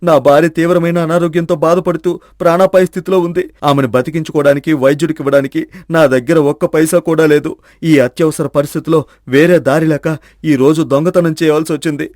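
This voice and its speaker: A man's voice: a little high, steady tone, a little fast, a little mellow, no echo, studio quality, lightly acted. A man playing a desperate thief in a storybook melodrama, pleading in a plaintive, anguished manner. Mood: pleading, contrite. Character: desperate, pleading male thief, devoted to sick wife.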